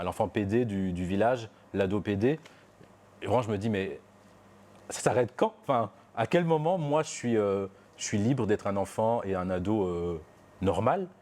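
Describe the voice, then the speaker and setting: A man's voice: on the low side, mellow. A young man giving a personal testimony, reflective and hesitant, with many fillers and restarts. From Belgium. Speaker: interviewee.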